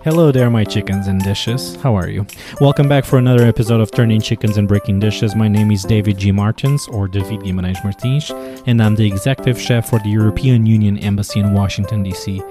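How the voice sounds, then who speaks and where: A middle-aged man from Portugal with a Portuguese accent, hosting a food podcast with an upbeat, energetic manner. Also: lowish voice, fast talker, music in the background. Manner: energetic upbeat intro, quieter when asking questions. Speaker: podcast host and chef. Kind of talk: podcast interview and ad read.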